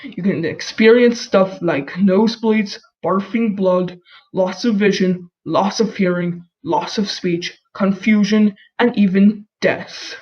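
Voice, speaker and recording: High-pitched male alert voice, lo-fi. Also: fairly even intonation, slow. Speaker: emergency alert announcer. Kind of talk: scripted emergency announcement. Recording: dull lo-fi audio.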